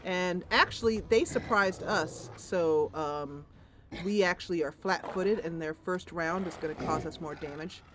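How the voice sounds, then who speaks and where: A woman's voice: on the low side, unhurried, a bit bright, a little hiss or hum. A woman playing a fantasy roleplaying game, thinking aloud with enthusiasm and narrating the story dramatically. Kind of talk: gameplay commentary mixed with dramatic narration.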